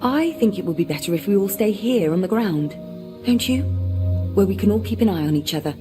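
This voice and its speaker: A woman's voice: medium pitch, animated, brisk, music in the background, natural voice. A woman playing a wary, skeptical elf in a video game, pointed and guarded. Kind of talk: video game character acting.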